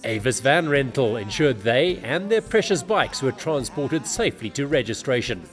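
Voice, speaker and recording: A man's voice: medium pitch, sing-song, normal speed, faint music behind. A man giving scripted, upbeat narration of a bike race.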